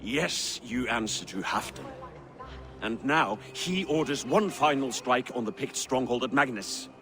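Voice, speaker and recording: A man's voice: medium pitch, animated, measured pace, close to an everyday voice. A man playing a boisterous, scheming, hard-drinking Viking warrior in a dramatic video game, with a theatrical way of talking. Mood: commanding.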